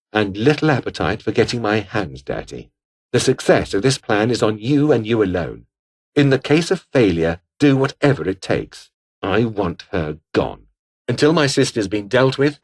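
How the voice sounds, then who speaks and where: Game role: pompous, scheming British officer, coldly ambitious, with widely swinging intonation. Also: male voice, lowish voice, very animated, normal speed. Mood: conspiratorial, cold. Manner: pompous, formal, disdainful. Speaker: video game voice actor. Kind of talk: scripted game character dialogue.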